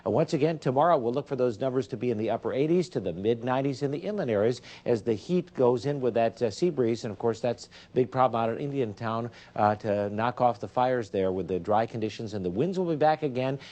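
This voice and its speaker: A man's voice: medium pitch, expressive, quick pace, hardly any pauses, mellow. A man giving a loose, ad-libbed local TV weather forecast from a news studio, full of fillers. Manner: loose, chatty delivery full of "uh" and "of course". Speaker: TV meteorologist.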